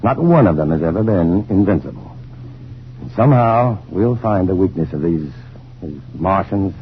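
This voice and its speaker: An American actor playing a calm, reassuring, educated scientist hero in an old-time radio drama. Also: male voice, low-pitched, normal speed. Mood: gentle, reassuring. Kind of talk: 1950s radio drama acting. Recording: dull, lo-fi old radio, faint noise.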